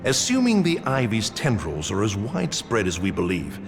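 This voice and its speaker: An actor playing a proud, gracious old male knight captain in a game cutscene, speaking in a formal, measured way. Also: on the low side, wildly swooping pitch, over music. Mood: earnest. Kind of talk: video game cutscene voice acting.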